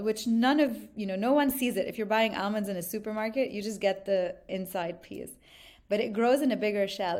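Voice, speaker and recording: A woman's voice: on the low side, darkish tone. A woman from Lebanon, a podcast guest, speaking earnestly and reflectively with frequent ums. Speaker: podcast guest, consultancy founder. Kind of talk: conversational interview.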